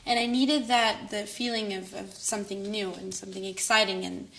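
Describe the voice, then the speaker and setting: A woman's voice: medium pitch, fairly even intonation, normal speed, gravelly, fairly bright tone, slight background noise, poor audio. Reflective woman from Israel. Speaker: interviewee. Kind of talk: documentary interview.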